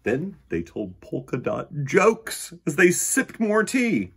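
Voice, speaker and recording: A man hosting storytime for children, reading a picture book aloud in an expressive, emphatic way. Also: on the high side, slow pace, vocal fry, husky. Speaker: children's storytime host and reader.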